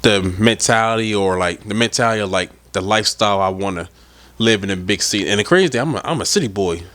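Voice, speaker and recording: A man's voice: fairly low pitch, fast talker, a bit bright. A young man hosting a casual solo podcast, rambling and cursing freely, sounding a little stuffed up from a head cold. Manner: rambling, profane, laid-back. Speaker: podcast host.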